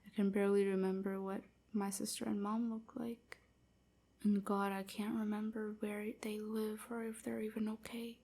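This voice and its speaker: A woman playing a sorrowful young enslaved vampire in an intimate audio roleplay, speaking softly and haltingly, often near a whisper. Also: medium pitch, little pitch movement, lightly acted. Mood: wistful, grieving. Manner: halting, wistful confiding.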